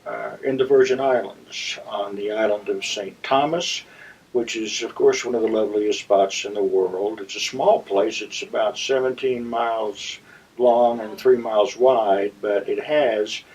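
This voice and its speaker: A man hosting a story segment, telling a personal anecdote to viewers in a deliberate, theatrical raconteur's manner with dramatic pauses. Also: fairly low pitch, steady tone, a little mellow, slightly rough. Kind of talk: anecdotal monologue addressed to viewers. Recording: slightly distant, dull lo-fi sound.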